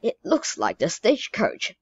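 A woman reading aloud, voicing a calm, kindly male railroad boss. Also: mid-range voice, a little slow, breathy edge, no echo, lo-fi sound, heavily acted. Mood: steady.

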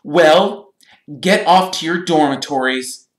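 Man doing a pompous, high-pitched prefect boy. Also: slow, reverberant, lightly acted. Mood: stern, affronted. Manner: stern, affronted, pompous. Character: stiff, pompous older teenage boy prefect.

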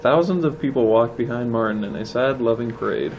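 A man reading a children's book aloud in a plain, measured manner. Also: mid-range voice, highs cut off. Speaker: storybook reader. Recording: faint background noise.

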